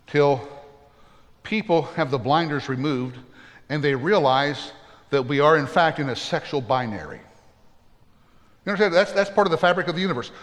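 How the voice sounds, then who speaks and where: A pastor preaching in a high voice, earnest and plainspoken, with folksy humorous stories. His voice rings with a long reverb, as if in a church sanctuary. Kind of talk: sermon.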